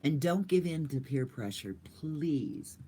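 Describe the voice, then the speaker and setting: Older woman vlogger, chatty and goofy, pitch bouncing all over, casual livestream talk. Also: lively intonation, slow pace, dark tone, a little hiss or hum.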